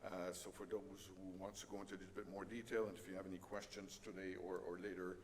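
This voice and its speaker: A middle-aged man with a French Canadian accent gives a conference talk in a fairly low voice. He pauses often on fillers and jokes at his own expense. The sound carries a long hall reverb with faint background noise. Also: level tone. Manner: halting, many fillers, light self-deprecating humor. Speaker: conference speaker. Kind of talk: conference presentation.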